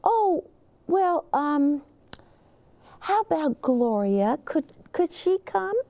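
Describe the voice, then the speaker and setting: A woman's voice: high-pitched, big pitch swings, drawn-out words, mellow, voice pushed up, full character voice. A woman voicing a polite school principal from a storybook, hesitant and stammering, as if making a telephone call.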